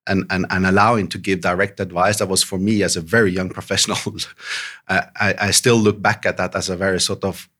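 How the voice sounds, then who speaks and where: A man's voice: low voice, little pitch movement, a little fast, pushed and bright. A middle-aged man being interviewed, talking hesitantly with many restarts and fillers and laughing often. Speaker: podcast guest. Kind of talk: conversational interview.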